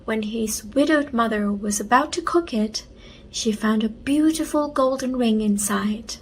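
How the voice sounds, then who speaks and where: A woman reading a children's story aloud in an expressive storytelling style, carefully enunciated. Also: fairly high pitch, a little hiss or hum. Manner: unhurried, enunciated read-aloud.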